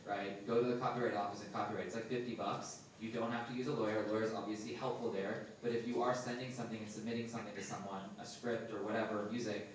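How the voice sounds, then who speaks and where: Male panelist, steady and methodical, flat delivery, picked up from afar in a noisy, echoing hall. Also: fairly low pitch. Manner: methodical, often tags "right?". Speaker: panelist answering audience questions. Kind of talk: live panel Q&A. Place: large indoor event space. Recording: echoey hall from far off, noisy.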